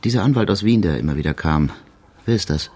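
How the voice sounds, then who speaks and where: An actor playing a shrewd, dry middle-aged chief inspector in a radio crime drama, questioning in a laconic, ironic manner. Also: male voice, low-pitched, animated, quick pace, darkish tone, husky, full character voice. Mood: probing, authoritative.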